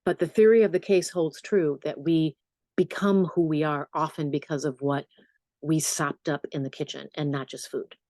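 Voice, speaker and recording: A middle-aged woman journalist with a low voice, answering interview questions in a storytelling manner with animated asides. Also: normal speed, no echo. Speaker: journalist and podcast host.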